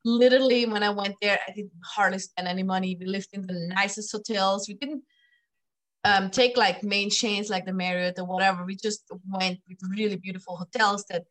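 A woman's voice: medium pitch, fairly even intonation, fairly quick, a bit bright. A middle-aged woman from Switzerland with a Swiss accent, chatting as a chef in a casual interview, laughing often and tossing in "you know".

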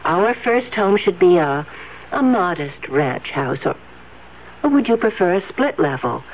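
An actress playing a doting but controlling young wife in a 1970s radio drama, coaxing and gently patronizing, on a tinny old recording. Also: female voice, lowish voice, very animated, a little slow, a little hiss or hum. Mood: sweetly firm.

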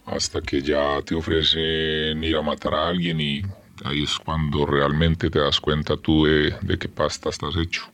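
A man's voice: a bass voice, flat delivery, a little slow, fairly bright tone, no room sound. A man with a Colombian accent, answering interview questions in short, matter-of-fact statements. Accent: Colombian Spanish.

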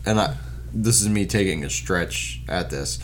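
A man's voice: low-pitched, a little slow, slight background noise. A man co-hosting a casual movie-review podcast, joking and laughing as he talks. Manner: joking, laughs while talking. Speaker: podcast co-host.

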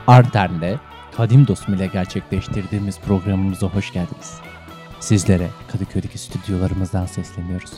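Low, mellow-voiced man hosting a podcast. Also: fairly even intonation, fairly quick, faint music behind. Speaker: podcast host. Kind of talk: playful banter with a mock-formal radio introduction.

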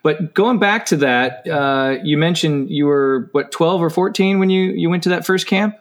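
A man's voice: fairly high pitch, moderate pace. A man hosting a podcast, asking questions with frequent fillers. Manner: friendly prompts with fillers. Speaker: podcast host. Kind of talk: casual interview.

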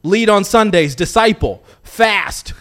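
A man's voice: high voice, animated, takes it slowly, a bit bright. A man who grew up in Tanzania, a guest on a podcast, telling playful stories and mock-quoting people in casual chat. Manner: playful storyteller, mock quotes.

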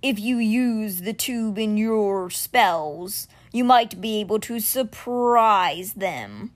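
A woman voicing a huge male stone cat guardian, speaking slowly in a low, measured, earnest way. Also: natural voice.

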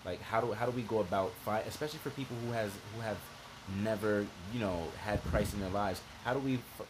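A young man hosting a podcast interview, with a very low voice. He affirms his guest often and asks long, winding questions. Background noise runs under the recording. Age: young adult. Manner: affirming, with frequent backchannel "right" and "mm-hmm". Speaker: podcast host.

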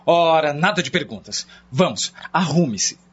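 Ator fazendo um pai firme de voz rouca. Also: male voice, fairly high pitch, lively intonation, measured pace, slightly rough. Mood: insistent. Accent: Brazilian. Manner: commanding. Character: firm, insistent father. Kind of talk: radio soap acting. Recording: dull, lo-fi radio drama recording.

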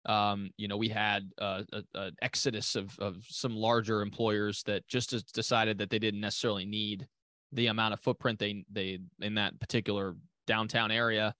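Low-voiced man hosting a call interview. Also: flat delivery, moderate pace, pushed and bright, dry recording, studio quality. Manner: hesitant, repeats words, says "you know" often. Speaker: interview host. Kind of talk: casual interview. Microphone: call audio.

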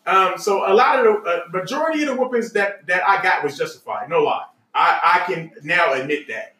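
High-voiced man, bright and echoey. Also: fairly quick. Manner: animated, repeats himself, candid. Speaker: podcast host. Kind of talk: casual family storytelling. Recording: roomy echo, slightly distant mic.

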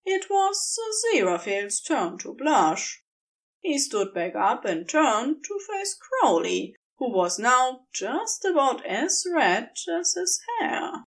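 A woman's voice: high-pitched, big pitch swings, slow. A woman reading scripted fiction as the narrator, telling a story.

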